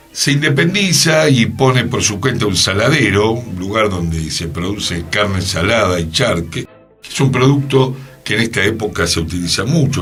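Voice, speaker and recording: Slow, expressive Argentine man on radio. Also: medium pitch, slightly rough. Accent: Rioplatense Argentine Spanish. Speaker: radio show host and columnist. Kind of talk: conversational radio history column.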